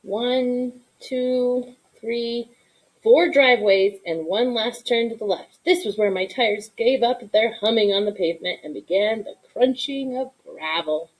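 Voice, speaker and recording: A woman reading a children's story aloud in an expressive style, with deliberate, dramatic emphasis. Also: on the high side, poor audio. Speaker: storybook reader.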